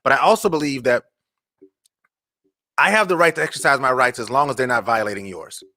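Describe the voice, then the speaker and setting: An American man hosting a casual live interview, speaking haltingly and repeating words as he thinks. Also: mid-range voice, pushed and bright. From Charleston, United States. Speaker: talk show host.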